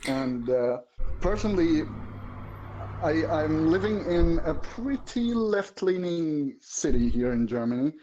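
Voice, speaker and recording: Guy, mellow voice, halting and searching for words, muffled call audio. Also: on the high side, expressive. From Syria. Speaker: guest viewer. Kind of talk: casual political discussion.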